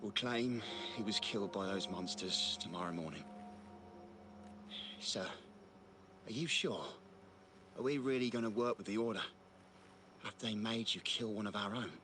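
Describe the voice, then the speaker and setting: A man's voice: on the low side, moderate pace, pauses often, over music, natural voice. A man playing a loyal but uneasy crime-family henchman in a video game, speaking warily. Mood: wary. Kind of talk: dramatic video game dialogue.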